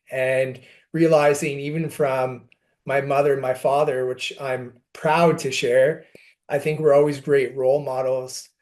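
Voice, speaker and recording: A man's voice: mid-range voice, a little mellow. A man with a Canadian accent, talking earnestly and reflectively as an interview guest. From Montreal, Canada. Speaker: podcast guest. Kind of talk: interview conversation, personal storytelling.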